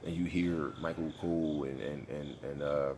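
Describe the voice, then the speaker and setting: Very deep-voiced congested young man. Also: steady tone, moderate pace, background noise. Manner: laid-back, rambling, slangy. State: congested, sniffly. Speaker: gaming YouTuber. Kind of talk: casual vlog commentary.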